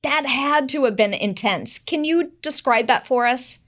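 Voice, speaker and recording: A woman's voice: sits high, a little slow, fairly bright tone. A woman hosting a television health interview, introducing a guest and asking questions. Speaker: host.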